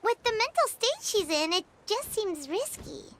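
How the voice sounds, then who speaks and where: A woman voicing a small, earnest, childlike fairy companion with a squeaky, cutesy delivery in an anime-style video game. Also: very animated, measured pace, background noise, full character voice. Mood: worried. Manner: cutesy, refers to self in third person.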